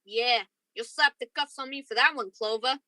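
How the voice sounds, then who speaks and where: A woman's voice: fairly high pitch, normal speed, sharp tone, dry recording, clean studio sound. A woman playing a hot-tempered, protective lover in a radio crime drama. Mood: bitter. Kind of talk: old-time radio crime drama acting.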